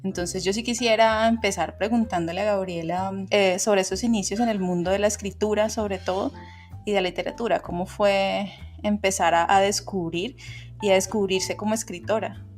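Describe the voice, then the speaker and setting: A woman hosts a podcast, opening the show and welcoming listeners and her guest. Her voice is mid-pitched and even. Faint guitar music plays beneath her. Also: moderate pace. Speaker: podcast host. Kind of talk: podcast hosting.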